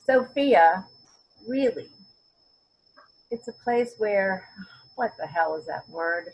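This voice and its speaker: A woman's voice: medium pitch, lively intonation, slow, a little hiss or hum, poor audio. A woman acting a stubborn, principled, wry elderly mother in a readers theater drama, often searching for words and then stressing them. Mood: exasperated. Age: older. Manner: word-searching, emphatic, wry.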